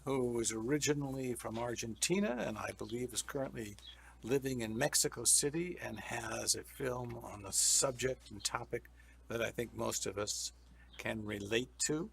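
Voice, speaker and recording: A man's voice: mid-range voice, moderate pace, gravelly, fairly bright tone, a little hiss or hum. A man hosting a film festival interview, introducing guests and asking friendly, rambling questions. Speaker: interview host.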